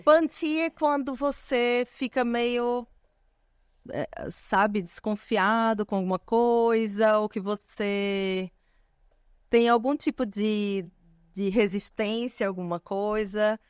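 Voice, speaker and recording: Northeastern Brazilian woman, Pernambuco accent, smooth and clear voice, thoughtful guest, dry mic. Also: medium pitch, takes it slowly, darkish tone, no room sound. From Recife, Pernambuco, Brazil. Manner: explains thoughtfully, tags "né" often. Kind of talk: casual interview chat.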